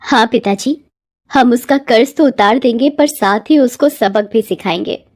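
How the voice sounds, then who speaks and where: A woman's voice: a little high, measured pace, darkish tone. A woman voicing a determined, defiant young village daughter in a cartoon moral story, firm and earnest. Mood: resolute.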